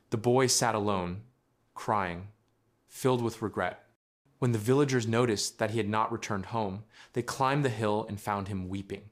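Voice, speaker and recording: A man reading a scripted children's story in a measured storytelling manner. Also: on the low side. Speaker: narrator.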